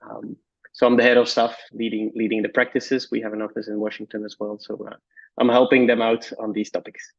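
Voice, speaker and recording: Relaxed man presenting over a muffled call. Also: lowish voice, fairly quick, gravelly. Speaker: strategy consultant, panelist. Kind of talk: presentation on a webinar. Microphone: video call. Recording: dull call audio.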